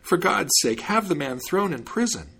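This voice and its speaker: Excitable folk-tale victim played by a male reader, high and husky, full of lament and complaint. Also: normal speed, full character voice. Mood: wailing, indignant. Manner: exclamatory, lamenting and pleading. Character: excitable, aggrieved bearded man in a folk tale.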